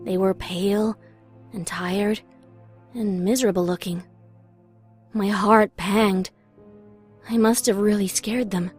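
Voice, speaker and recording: A woman's voice: medium pitch, fairly even intonation, slow pace, long pauses, husky. Emotive young woman narrating over music. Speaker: first-person story narrator. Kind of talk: dramatic scripted narration.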